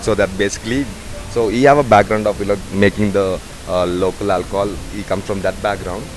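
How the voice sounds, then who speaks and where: A man's voice: on the low side, steady tone, fairly quick. A man, a head bartender talking in a rambling way with many fillers. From Sri Lanka. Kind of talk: casual interview. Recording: noisy bar ambience.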